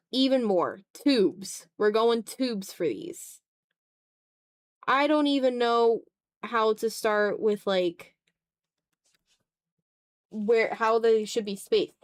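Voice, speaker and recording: A woman's voice: mid-range voice, clear, resonant tone, no room sound. Young woman muttering casually, dry close sound. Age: 20s. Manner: muttering to self, self-deprecating, casually sweary. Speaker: art streamer. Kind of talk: casual livestream chat while drawing. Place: room at home.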